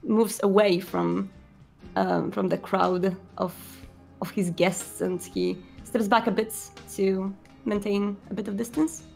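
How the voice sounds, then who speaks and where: A woman game master describes the scene of a tabletop roleplay. She improvises, pausing to search for words, and faint music plays underneath. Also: mid-range voice, steady tone, creaky, a little mellow.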